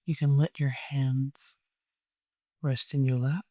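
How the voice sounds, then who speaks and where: A person leading a guided meditation in a gentle, soothing way, with long unhurried pauses. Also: mid-range voice, flat delivery, no room sound.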